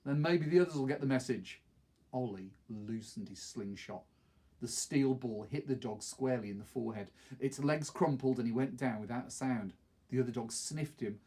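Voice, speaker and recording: A middle-aged man with a husky voice, reading a novel aloud expressively. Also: medium pitch, a little mellow. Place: small wood-panelled study, indoors.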